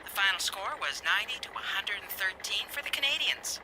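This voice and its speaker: An actress playing a formal radio newsreader in an audio drama, heard as if through a car radio. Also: female voice, mid-range voice, animated, brisk, background noise. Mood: brisk, neutral. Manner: formal announcer delivery. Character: female radio newsreader giving sports results. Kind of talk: radio news bulletin.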